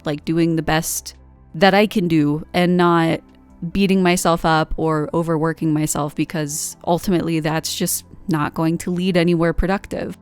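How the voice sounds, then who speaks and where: A woman's voice: low voice, fairly even intonation, normal speed, clean tone, faint music behind. A woman in her twenties from the United States, talking candidly and rambling through a reflective vlog monologue with lots of fillers. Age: 20s. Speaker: art vlogger.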